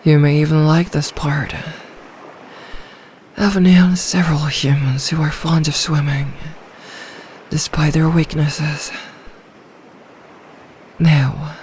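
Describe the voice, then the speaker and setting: Slow, possessive sea dragon voiced by a woman. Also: low voice, fairly even intonation, a little hiss or hum. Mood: doting, possessive. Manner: soft, languid, regal, doting with quiet menace. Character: lonely, possessive, ancient female sea dragon, tender yet menacing. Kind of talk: ASMR roleplay, slow intimate acting.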